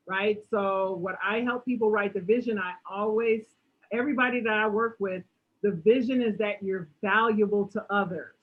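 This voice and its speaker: A woman answering audience questions in a motivational talk, emphatic and animated, often tagging her points with "right?". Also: medium pitch, husky, narrow, tinny audio. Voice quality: strong voice.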